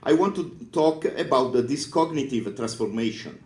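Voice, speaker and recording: A middle-aged man from northern Italy with an Italian accent, giving a conference talk in English in a hesitant, halting way with many filler sounds. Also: mid-range voice, lively intonation, a little mellow, reverberant. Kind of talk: conference speech. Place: conference room.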